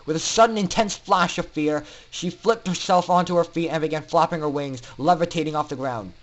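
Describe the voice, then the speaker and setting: A man's voice: a little high, fairly quick. A man narrating a fan fiction story in a dramatic reading style.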